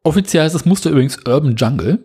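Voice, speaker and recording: Male podcast co-host, slightly husky, relaxed and dry as he talks through news. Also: on the high side, racing. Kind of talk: casual news chat.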